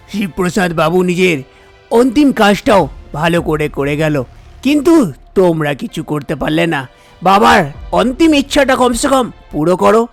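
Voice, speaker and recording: A man's voice: high voice, creaky. A man voicing a concerned village temple priest who advises and admonishes in a cartoon story. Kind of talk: Bengali cartoon story dialogue.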